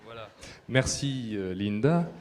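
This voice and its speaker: A man's voice: medium pitch, expressive, slow pace. A man playing a bumbling official of an international library body in a live comic sketch, stumbling and repeating himself. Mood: officious. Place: large hall at a library event. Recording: echoey hall recording.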